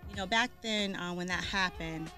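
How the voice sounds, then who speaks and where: A woman's voice: fairly low pitch, normal speed, bright, forceful tone, faint music behind. A woman from Boston, a guest on the show, talking casually with lots of ums and restarts. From Boston, Massachusetts. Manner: chatty, lots of ums and restarts, laughs easily. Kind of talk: casual interview talk.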